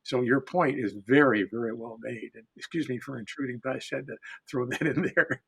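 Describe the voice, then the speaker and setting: Man, husky mid-pitched voice, emphatic and deliberate oral storyteller in a panel setting. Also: fairly bright tone.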